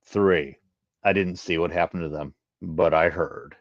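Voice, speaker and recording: A man voicing a stoic, guarded alien male in a sci-fi story, speaking in measured, formal phrases. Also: low voice, creaky, no echo, dull audio, voice pushed down. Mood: grim.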